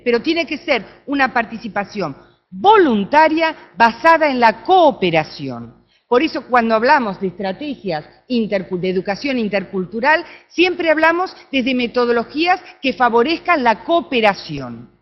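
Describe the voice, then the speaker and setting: A woman with an Argentine accent giving an academic talk at a conference, often checking in with her audience through quick tag questions. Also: fairly high pitch, lo-fi sound. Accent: Argentine Spanish. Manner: animated, with frequent tag questions. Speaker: conference speaker. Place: conference room.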